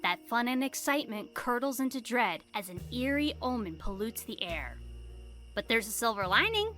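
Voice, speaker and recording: A woman's voice: fairly high pitch, big pitch swings, normal speed, sharp tone, soft background music. A woman narrating an audio drama in a theatrical, suspenseful storytelling style.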